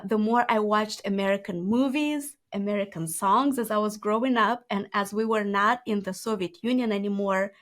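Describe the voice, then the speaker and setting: A woman in her thirties from Moldova with a Moldovan accent, a Romanian native speaker telling her story earnestly as an interview guest. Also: mid-range voice. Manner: quick, earnest storytelling with light laughs. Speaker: guest entrepreneur. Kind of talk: conversational interview.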